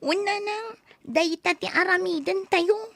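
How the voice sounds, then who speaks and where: A woman voicing a fretful, pleading mother in an audio drama, her voice sitting high and bright. She urges her family to flee the storm. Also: measured pace, creaky, over music, heavily acted. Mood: worried. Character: anxious mother of a poor family in a typhoon. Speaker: voice actor. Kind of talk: radio drama acting.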